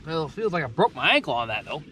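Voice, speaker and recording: A man's voice: on the high side, very animated, fast talker. A young man talking casually while fishing from a boat on a lake, filmed by an action camera mounted on the boat, with wind and water noise around him. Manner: chatty, thinking aloud while fishing. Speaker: angler filming himself. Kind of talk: casual fishing vlog commentary. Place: outdoors on a bass boat on a lake.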